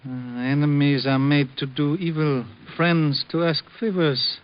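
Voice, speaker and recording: An actor playing a calm, cryptic old shopkeeper who speaks in broken English and proverbs, in a 1940s radio drama. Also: male voice, on the high side, unhurried, clean tone, highs cut off, lightly acted. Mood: measured. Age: older.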